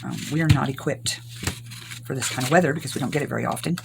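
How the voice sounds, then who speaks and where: An older woman from Arkansas, hoarse from recent illness, chatting through a rambling crafting tutorial. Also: a bass voice, fast talker, background noise. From Arkansas, US South. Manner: rambling, homey, many ums. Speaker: craft channel host.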